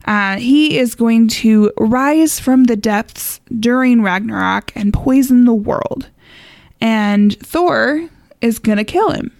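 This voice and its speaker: A woman's voice: fairly high pitch, slow pace, vocal fry, a little mellow, dry recording, studio quality. A woman co-hosting a podcast in casual chat, speaking informally and wryly, often with a chuckle in her words. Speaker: podcast co-host.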